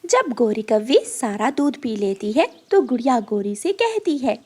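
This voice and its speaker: A woman's voice: fairly high pitch, big pitch swings, a little slow, mellow. A woman storyteller giving scripted narration for a children's cartoon.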